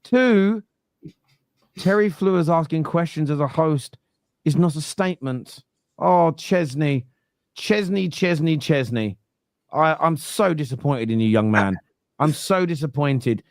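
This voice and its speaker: A young man with a Cockney accent hosting a football fan podcast, teasing and animated, often talking over the others. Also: on the high side, a little slow, no echo, clean studio sound. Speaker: podcast host. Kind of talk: heated football fan debate.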